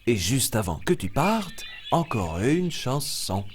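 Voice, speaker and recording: A man playing a kindly jungle animal who tells children stories in an expressive, teacherly cartoon manner. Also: mid-range voice, slow. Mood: kindly, encouraging. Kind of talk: children's story narration with acted dialogue. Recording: loud music behind.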